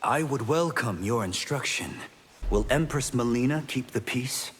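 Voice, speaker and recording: An actor playing an eager, respectful young martial arts monk in a video game. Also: male voice, medium pitch, animated, unhurried. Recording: loud noise behind game dialogue.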